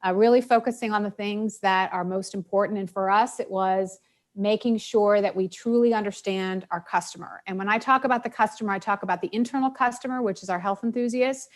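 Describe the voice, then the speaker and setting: A woman's voice: medium pitch, fairly even intonation, fairly quick. A woman, a retail executive, speaking in a panel discussion in a conversational manner with many fillers.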